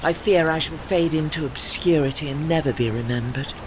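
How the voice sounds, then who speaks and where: A man in an audio play acting as a refined, melancholy old retired warship with gentle dignity and formal, genteel speech. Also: a little high, a little fast, noisy background, lightly acted. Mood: wistful, resigned.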